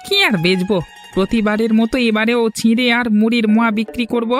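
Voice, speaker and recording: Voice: mid-range voice, fairly even intonation, quick pace, soft background music, lightly acted. Someone playing kindly old village hawker. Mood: chatty. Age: older. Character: elderly village street hawker, kindly grandfatherly man. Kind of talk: cartoon story dialogue.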